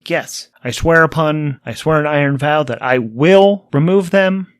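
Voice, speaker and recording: A man's voice: on the high side, a little slow, long reverb tail, lightly acted. A man playing an earnest, forgetful bounty hunter hero in a tabletop game audio drama, with a hesitant manner. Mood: resolute.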